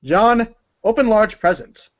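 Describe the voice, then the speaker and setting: A man's voice: high voice, a little slow, dull audio. A man narrating a story aloud in a theatrical, dramatic and emphatic manner. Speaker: narrator of a group reading.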